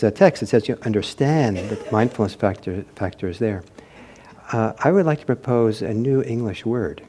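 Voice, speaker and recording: Guy giving a dharma talk, warm dark tone, kinda husky, thoughtful and halting, informal. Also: medium pitch. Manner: hesitant, reflective, searching for words. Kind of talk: informal spoken teaching with anecdotes.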